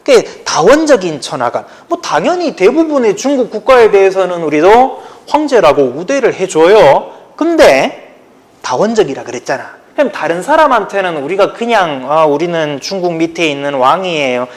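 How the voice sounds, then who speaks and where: A man's voice: sits high, very animated, moderate pace. Chatty Daegu-accented male teacher. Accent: Gyeongsang (Daegu) Korean. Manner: chatty, informal, talks to students directly. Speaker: high school history teacher. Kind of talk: casual online lecture.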